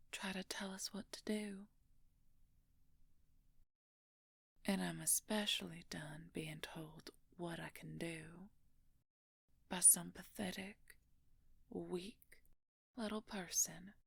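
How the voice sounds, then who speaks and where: Woman voicing a possessive werewolf villainess, very gravelly fry, husky whispering, smug and condescending, audio roleplay. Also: no echo, heavily acted. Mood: contemptuous, menacing. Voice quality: breathy, creaky low murmur, often whispered. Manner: slow, smug, condescending, drawn-out menace. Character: menacing, possessive female werewolf, former court mage. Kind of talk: audio roleplay monologue addressed to the listener.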